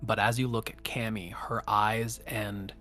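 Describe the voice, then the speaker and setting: A man narrating as game master of a tabletop game, halting and full of fillers. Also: on the low side, little pitch movement, fairly bright tone. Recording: faint background music.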